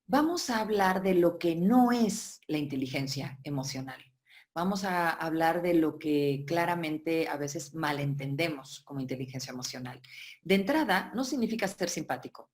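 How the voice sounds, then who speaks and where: A woman's voice: low voice, animated, moderate pace. A woman from Barcelona, giving a webinar lecture as a workshop facilitator, explaining at length and often checking in with her listeners. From Barcelona, Spain. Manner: explanatory, with frequent check-ins and filler "eh".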